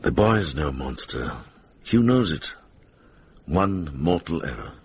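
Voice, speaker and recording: An earnest, worldly-wise medieval monk for radio drama. The voice sits very deep and moves with lively intonation. There is clear noise on the recording. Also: male voice, slow pace, full character voice. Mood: troubled, firm. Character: wise, earnest Welsh monk, compassionate former soldier.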